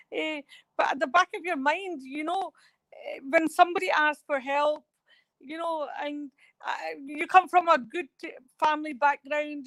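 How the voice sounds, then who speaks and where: A woman's voice: high voice, a bit bright, no room sound. A woman talking as a guest in a remote radio interview, rambling and hesitant with many fillers. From Scotland.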